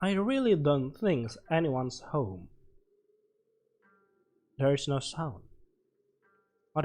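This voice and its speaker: A man doing casual game commentary, reading on-screen text aloud and reacting with short, halting interjections. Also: a little high. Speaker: let's player. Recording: music playing behind the voice. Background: game music.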